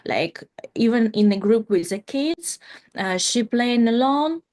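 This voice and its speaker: Slow-talking Ukrainian woman, high voice. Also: animated, darkish tone. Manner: many "like" fillers and restarts, non-native grammar. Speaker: guest, company founder. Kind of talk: casual interview talk.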